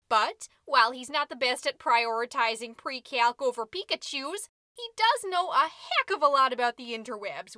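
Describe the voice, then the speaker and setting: A self-important suburban mom, played by a woman, hosts her comedy podcast in a high, bright voice. She is perky and folksy, with fussy theatrical delivery. The sound is close and dry. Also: no room sound. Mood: chirpy, self-important. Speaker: podcast host. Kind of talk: scripted comedic monologue as a podcast.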